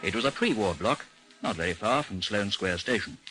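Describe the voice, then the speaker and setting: Male actor as composed detective chief, 1950s-style radio drama. Lowish voice, dry, formal, measured narration. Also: expressive, over music, natural voice, poor audio. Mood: measured narrating. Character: composed, dry British chief of a missing persons bureau, narrating his case. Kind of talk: old-time radio drama acting and narration.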